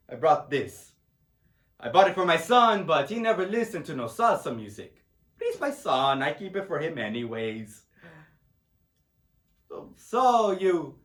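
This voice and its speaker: Man acting out a middle-aged Puerto Rican dad, high-pitched, slow, Spanish-accented broken English, proud and grieving, stage monologue. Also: a bit bright, full character voice. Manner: broken English, rambling, tags phrases with "huh?". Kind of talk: solo dramatic monologue. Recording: echoey room.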